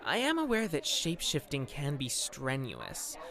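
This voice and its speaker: An actor as a polite corporate interviewer for a magical company in an audio drama. The delivery is formal and businesslike, with a very animated, swooping melody. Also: mid-range voice, moderate pace, creaky, a faint crowd, heavily acted. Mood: courteous, probing.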